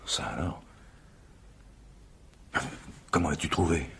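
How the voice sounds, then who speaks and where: Flustered man in hiding, quick low speech, fussing manner, faintly noisy radio recording. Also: creaky. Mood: startled, uneasy. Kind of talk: French radio mystery drama acting.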